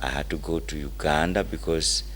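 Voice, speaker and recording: Older man, extremely low voice, deliberate halting storytelling, faint hum behind. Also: steady tone, fairly bright tone. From Malawi. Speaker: interview guest, historian. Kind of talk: autobiographical storytelling in an interview.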